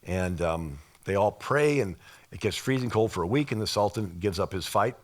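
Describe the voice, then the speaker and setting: A man with a slightly raspy voice giving an informal history lecture, explaining things and posing rhetorical questions as he goes. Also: lowish voice, lively intonation, normal speed.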